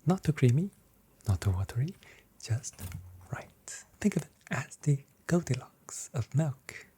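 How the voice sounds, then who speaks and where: Whispered, mellow ASMR shop assistant voice. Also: medium pitch, big pitch swings, measured pace, a little hiss or hum. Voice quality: breathy, mostly whispered. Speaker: ASMR role-play performer playing a shop assistant. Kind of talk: whispered, soft-spoken ASMR customer service role play.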